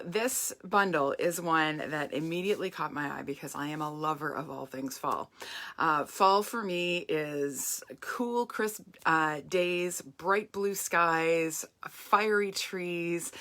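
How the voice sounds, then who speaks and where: Hoarse woman on a craft live stream. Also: sits low, bright, forceful tone. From Canada. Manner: chatty and upbeat, greeting viewers by name. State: hoarse, croaky from voice strain. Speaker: craft demonstrator.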